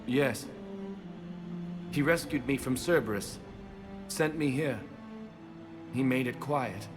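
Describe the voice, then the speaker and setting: An actor playing a gentle, literal-minded young maths savant in a video game, earnest and precise in short halting phrases. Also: male voice, mid-range voice, over music, natural voice. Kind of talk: video game dialogue acting.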